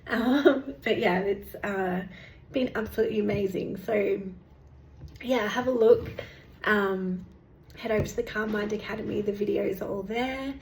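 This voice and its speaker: A woman, hosting a casual vlog update, rambling and chatty with lots of fillers and restarts, her voice going hoarse. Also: mid-range voice, measured pace, darkish tone. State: losing her voice. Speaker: meditation teacher and channel host. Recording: echoey room with faint background noise.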